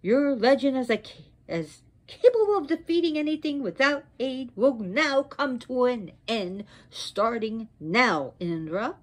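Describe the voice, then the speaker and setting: Imperious, indignant goddess of myth, performed by a female storyteller; high, emphatic, deliberate. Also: expressive, dark tone, breathy edge, exaggerated voice. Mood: wrathful cursing. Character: furious, imperious wronged goddess pronouncing curses. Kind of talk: oral mythological storytelling.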